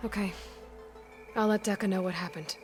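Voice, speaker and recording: A woman's voice: medium pitch, steady tone, moderate pace, faint music behind, close to an everyday voice. A woman playing a determined, matter-of-fact young heroine in a video game cutscene. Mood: calm, reassuring.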